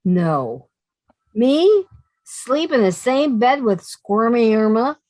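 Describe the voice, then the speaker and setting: A woman voicing a sensible, fed-up young working woman in an old-time radio comedy, brisk and sarcastic. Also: on the high side, sing-song, a little mellow, dry recording. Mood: exasperated.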